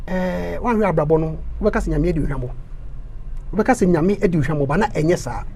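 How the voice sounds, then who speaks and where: A man with a Ghanaian accent, a council candidate giving an impassioned interview, stammering through repeats and rising into loud preaching bursts. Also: fairly high pitch, brisk, vocal fry, a little hiss or hum.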